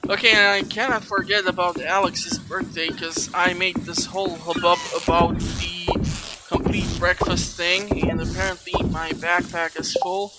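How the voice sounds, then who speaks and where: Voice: mid-range voice, fairly even intonation, measured pace, rough recording. A person giving an informal video game walkthrough, thinking aloud while playing. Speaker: gaming YouTuber, walkthrough host. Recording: loud game music behind. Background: video game music.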